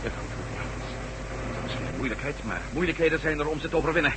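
An actor playing an agitated, commanding ex-astronaut reporter on the run in a science fiction radio drama. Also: male voice, a little high, sing-song, fast talker. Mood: grim, determined. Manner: urgent, commanding, sarcastic, swears. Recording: old radio drama, hissy, noisy.

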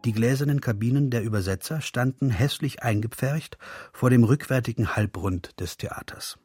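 A man's voice: medium pitch, moderate pace, darkish tone, husky, no echo, faint music behind, natural voice. An actor playing a sensual, seductive interpreter, speaking poetic radio drama monologue intimately and savouring his phrases. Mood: descriptive.

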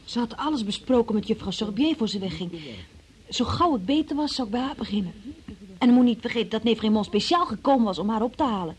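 A woman's voice: a little high, lively intonation, fast, close to an everyday voice. Timid, evasive young woman played by an actress. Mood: nervous, defensive. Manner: hesitant, meek, defensive. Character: timid, evasive young female shop worker under questioning. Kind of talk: radio drama acting.

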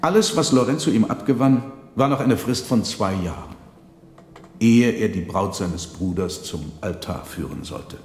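Radio drama: man playing an eloquent trickster conjurer, deliberate, formal storytelling, set in a reverberant echoing space. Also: on the low side, lively intonation, darkish tone, slight background noise, natural voice. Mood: solemn, dramatic. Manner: measured, dramatic, formal diction. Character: theatrical trickster conjurer recounting his tale, deliberate and eloquent. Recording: echoey reverberant hall sound.

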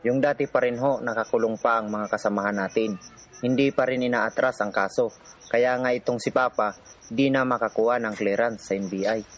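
A man's voice: medium pitch, fairly even intonation, brisk, close to an everyday voice. A man playing an earnest, determined activist from the urban poor, telling his story in a radio drama. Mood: earnest rallying. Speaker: narrator and protagonist. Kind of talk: radio drama narration and dialogue. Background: music.

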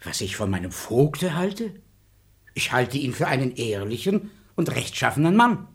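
An anxious, pleading baron. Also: male voice, medium pitch, big pitch swings, moderate pace. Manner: urgent, pleading, alarmed. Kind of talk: stage radio play acting.

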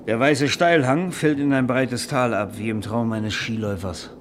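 An actor playing an eager, self-absorbed screenwriter and romantic dreamer in a German radio play, speaking in a florid, rhapsodic, theatrical way. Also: male voice, medium pitch, fairly even intonation, moderate pace, background noise, lightly acted. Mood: rapt, rhapsodic.

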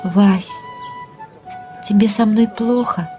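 A woman's voice: medium pitch, slow, dark tone, faint music behind, lightly acted. An actress in an audio drama playing a loving, wistful, girlishly dreamy wife who coaxes tenderly in short phrases.

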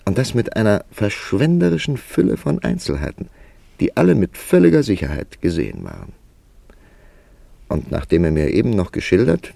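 An actor playing an urbane, sceptical male writer who narrates and questions in a measured, wry way in a radio play. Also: low-pitched, vocal fry, mellow, no echo, full character voice.

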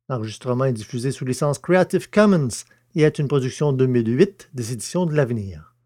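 A man's voice: mid-range voice, a little mellow, dry recording, clean studio sound. A man reading scripted narration for an audio drama.

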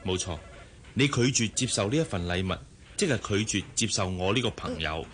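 An actor with a Hong Kong Cantonese accent playing a gentle, coaxing father and boss in a radio drama. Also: low voice, animated, fairly quick, a little hiss or hum. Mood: friendly, persuasive. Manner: soft, coaxing. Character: gentle, persuasive male boss and young father.

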